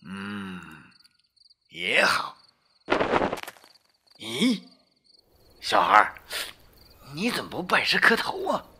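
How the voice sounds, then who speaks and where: An actor playing a mysterious, cunning old master in a Chinese costume audio drama, theatrical, lofty and coaxing. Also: male voice, on the low side, huge pitch swings, drawn-out words, pauses often, pushed and bright, slight background noise, exaggerated voice. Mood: lofty, puzzled. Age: older.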